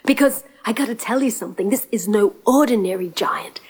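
A woman voicing a nervous young male prince who talks fast and stammers when flustered. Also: mid-range voice, breathy edge, natural voice, poor audio.

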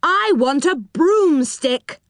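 A woman voicing an excitable, stammering little round-faced magical man in a children's storybook. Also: high voice, extremely slow, no echo, studio quality, voice pushed up, heavily acted. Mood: insistent. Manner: stammering, exclaiming.

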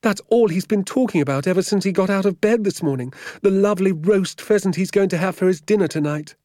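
A man voicing an indignant, excitable British vicar's wife in a dramatic, wailing manner for an audiobook. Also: sits high, fast, no echo, clean studio sound, voice pushed up, natural voice. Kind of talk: audiobook character voice.

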